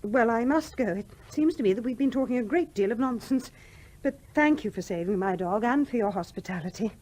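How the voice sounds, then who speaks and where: A proper, youngish English widow, modest and polite but quick to reprove. Her husky voice is lively in pitch, in the manner of a 1970s radio drama. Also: poor audio. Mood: flustered, firm. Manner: polite, reserved, primly reproving. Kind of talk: 1970s radio play acting.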